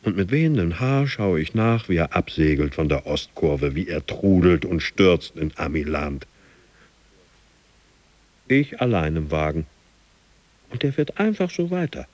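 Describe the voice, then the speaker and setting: An actor playing a driven, boastful gym fanatic in a radio drama monologue, intense and theatrical. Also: male voice, deep voice, big pitch swings, unhurried, long pauses, a little mellow, no room sound, full character voice. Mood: dreamy, exalted. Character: driven, boastful male gym fanatic dreaming of his boss and America.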